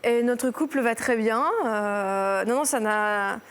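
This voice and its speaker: A woman in her thirties, a talk show guest, telling a personal story in halting sentences that restart and trail off. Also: a little high. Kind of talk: emotional personal testimony. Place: television studio.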